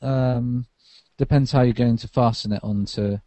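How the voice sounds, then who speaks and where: A man's voice: medium pitch, fairly even intonation, moderate pace, warm tone, no room sound. A tired man, a podcast co-host, chatting casually about tech while lying on a sofa.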